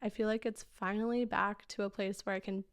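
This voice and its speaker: A young woman talks through her solo podcast episode in a chatty, personal way. Her voice is a little raspy and gravelly, since she is still getting over losing it. Her pitch stays fairly even, and the recording is close and dry. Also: brisk, dry recording. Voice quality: slightly raspy. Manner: warm, chatty, addresses listeners as "babes". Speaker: podcast host. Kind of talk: casual solo podcast talk.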